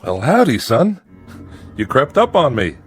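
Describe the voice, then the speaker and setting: An actor playing a nervous farmer father in a radio drama, folksy and halting, placating with false joviality. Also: medium pitch, huge pitch swings, faint music behind.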